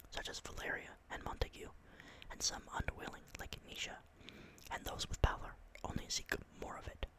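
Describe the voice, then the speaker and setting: Young guy whispering asmr right into the mic, tired, keeps rambling and saying sorry. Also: poor audio. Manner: soft unvoiced whisper throughout; excitable, rambling, apologetic asides. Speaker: ASMR creator. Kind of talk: whispered ASMR reading with chatty asides. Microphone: close microphone.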